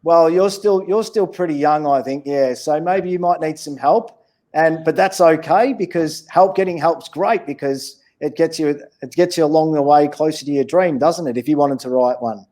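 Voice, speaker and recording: A man's voice: on the high side, normal speed, darkish tone. A man with an Australian accent answering questions in a casual, encouraging, plain-spoken way as a children's author. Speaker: children's author, interview guest.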